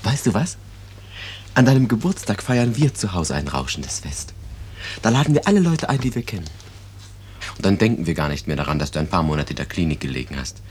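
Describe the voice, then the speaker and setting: Tiefer, beschwichtigender Ehemann, verrauschte Radioaufnahme. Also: male voice, sits low, big pitch swings, a little fast, a little mellow. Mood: forced cheer. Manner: reassuring, hesitant. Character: evasive, soothing husband of a sick woman. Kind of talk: 1950s German radio drama acting. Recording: noisy early 1950s radio recording.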